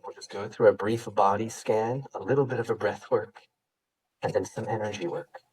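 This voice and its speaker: A man teaching yoga, leading a guided meditation with gentle, unhurried instructions and pauses. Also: on the low side, steady tone, husky, far from the mic, poor audio.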